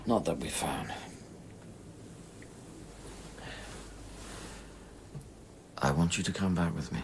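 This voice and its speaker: A man acting a troubled, weary scientist in intimate radio drama dialogue, terse and halting. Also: low-pitched. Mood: pleading, quiet. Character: troubled, weary male scientist, evasive with his lover. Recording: clearly audible background noise and ambience.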